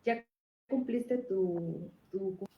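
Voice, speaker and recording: A woman conducting an interview, asking short, simple questions in a gentle style. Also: medium pitch, extremely slow, highs cut off. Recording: roomy, faintly noisy played-back video.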